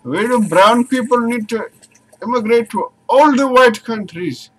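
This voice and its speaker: A man's voice: very high voice, measured pace, a bit bright, full character voice, rough recording. A man doing a brash, boastful character with a put-on Indian accent, swearing and mock-threatening in stilted phrasing. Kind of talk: crude improvised comedy bit.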